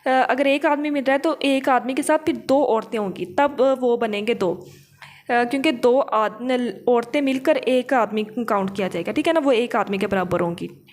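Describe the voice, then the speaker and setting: Woman, higher-pitched voice, law teacher, didactic, explanatory lecture style, frequent check-in tags. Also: moderate pace. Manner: didactic, checks in often with tag questions. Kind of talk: explanatory lecture, reading English legal text then explaining it.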